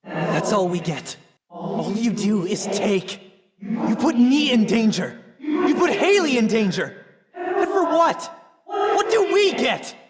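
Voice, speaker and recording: A man playing a nerdy, excitable teenage boy in a video game, the hero's tech-savvy best friend, with a casual, wisecracking delivery. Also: very high voice, takes it slowly, over a noisy crowd, heavily acted. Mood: hurt, angry.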